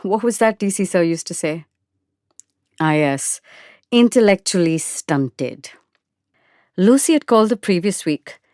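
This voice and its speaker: Low-voiced woman narrating a dramatic story. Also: lively intonation, measured pace, pauses often, darkish tone, dry recording, clean studio sound. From India. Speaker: narrator and actor. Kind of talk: dramatic first-person short story narration.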